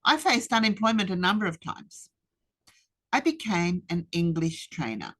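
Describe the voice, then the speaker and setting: Woman, sixties, lowish voice, measured, emphatic pauses, webinar talk. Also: big pitch swings, fairly bright tone. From Australia. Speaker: speaker. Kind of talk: rehearsed presentation. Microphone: webinar computer mic.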